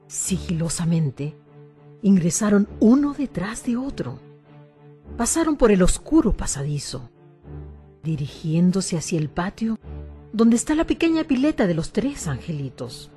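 A woman's voice: fairly low pitch, very animated, unhurried, warm tone, faint music behind. A woman reading scripted, suspenseful narration as the storyteller of a spooky radio drama.

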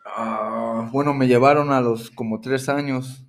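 A man in his thirties, from Mexico and raised in Florida, answering questions hesitantly with many pauses as a rapper guest. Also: medium pitch, steady tone. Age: 30s. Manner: hesitant, many ums and restarts. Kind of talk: casual interview.

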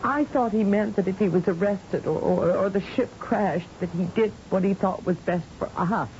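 A woman's voice: medium pitch, normal speed, a little mellow, close to an everyday voice. An actress playing a distraught woman scientist and loyal wife, stammering emotionally in an old-time radio drama. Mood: anguished. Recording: muffled, noisy old radio recording.

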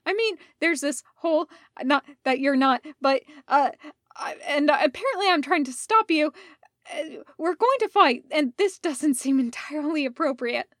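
A woman's voice: expressive, normal speed, no room sound, studio quality. A woman voicing a sarcastic, easily flustered young male Jedi who babbles when nervous. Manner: babbling, sarcastic and high-strung.

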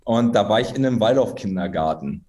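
A man's voice: lowish voice, fairly quick. A man in his thirties from Berlin chats as a guest about his life as a drummer. He tells stories at an easy pace, hedges a lot and laughs at himself. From Berlin, Germany. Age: 30s. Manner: relaxed storyteller, hedging, self-deprecating laughs. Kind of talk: casual interview chat.

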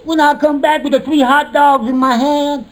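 An extremely high-voiced, flustered little barber from old radio comedy. He stammers, repeats himself and trips over his words timidly. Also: fairly even intonation, normal speed. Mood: chatty, sheepish. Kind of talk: 1950s radio comedy acting.

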